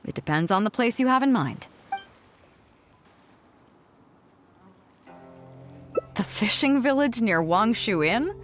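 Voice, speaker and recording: An actress playing a confident, playful yet reflective woman sea captain in video game dialogue, with a self-assured, measured way of speaking. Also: a little high, expressive, lightly acted. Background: music.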